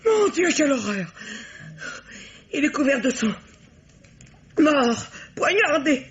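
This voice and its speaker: An actress playing a middle-aged, bossy and protective elder sister in an old radio mystery, with theatrical, emphatic delivery. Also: female voice, high-pitched, very animated, a little hiss or hum, heavily acted. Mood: horrified, distraught. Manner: theatrical, emphatic, quick to argue. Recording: dull, lo-fi vintage radio recording.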